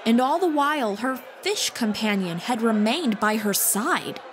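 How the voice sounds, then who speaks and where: A woman's voice: fairly high pitch, lively intonation, unhurried, a faint crowd. A woman reading scripted fantasy audiobook narration as the storyteller. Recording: music bed behind voice.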